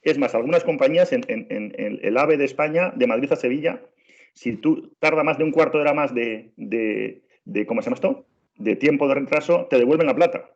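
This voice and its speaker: A middle-aged man from Spain speaks with a clear Spanish accent. He presents in an insistent way, repeats himself and restarts his sentences often. The sound is dull and lo-fi, as on a video call. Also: medium pitch, fairly quick. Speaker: train travel trainer. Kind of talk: webinar presentation.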